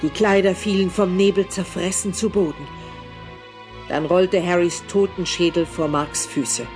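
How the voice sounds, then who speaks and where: A man's voice: high voice, fairly even intonation, unhurried. A man reading scripted, dramatic narration for a German horror audio drama. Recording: music behind the voice.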